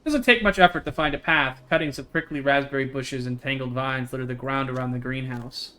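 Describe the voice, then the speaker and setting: A man's voice: mid-range voice, brisk, bright, forceful tone. A man livestreaming a game, chatting casually in a jokey, slangy way and reading its text aloud. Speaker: game streamer.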